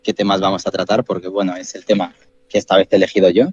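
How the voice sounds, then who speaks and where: A man hosting a podcast, calmly explaining ideas step by step with examples. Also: sits low, fast, husky. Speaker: podcast host. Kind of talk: explanatory casual chat. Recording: dull, muffled sound.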